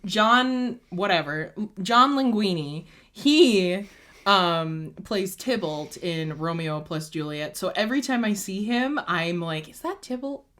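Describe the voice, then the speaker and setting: A woman's voice: medium pitch, lively intonation, slow, a bit bright. A young woman co-hosting a casual chat, bubbly and playfully sarcastic, often laughing and finishing her co-host's sentences. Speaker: podcast co-host.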